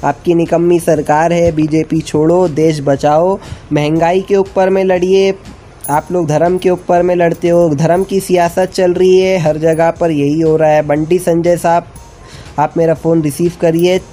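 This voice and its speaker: A man's voice: fairly high pitch, flat delivery, measured pace, mellow, faint music behind. A young man from Maharashtra with an Indian accent, making an insistent, repetitive political appeal addressed directly to someone. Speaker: activist caller.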